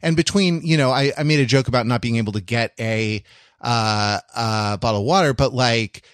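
Man, mid-pitched voice, dry close sound, casual podcast co-host, rambling analytical explainer. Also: normal speed, clean tone, no echo.

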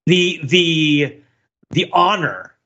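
Bright, forceful man thinking aloud. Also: fairly high pitch, extremely slow, muffled recording. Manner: discursive, thinking aloud, hedging with many asides. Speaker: podcast co-host. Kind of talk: casual panel discussion, analytical.

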